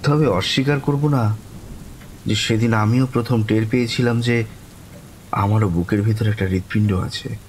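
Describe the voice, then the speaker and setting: A man playing a courteous young man who narrates his own eerie encounter in a horror audio story, lingering and expressive. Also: lowish voice, moderate pace, long pauses, slight background noise, lightly acted, low-quality recording. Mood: smitten, wistful. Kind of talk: dramatic first-person horror story narration.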